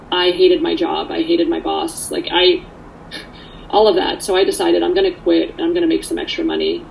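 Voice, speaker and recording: Over light background noise, a woman speaks in a lowish voice with plenty of creak. She shares her personal story conversationally, candid and earnest throughout. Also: rough recording. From Canada, born in Poland.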